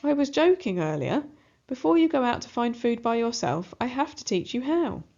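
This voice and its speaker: A woman's voice: fairly high pitch, sing-song, moderate pace, darkish tone, pitched above the performer's own voice. A caring mother rat from a children's storybook voiced by a woman. She is concerned and likes to guide. The sound is a touch muffled. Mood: concerned, motherly.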